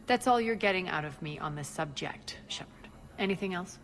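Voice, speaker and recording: A ruthless alien crime queen for a video game. Her voice sits low, haughty and deliberate, with sardonic command. Faint noise runs behind her. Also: lively intonation, fairly bright tone. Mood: cold, sardonic.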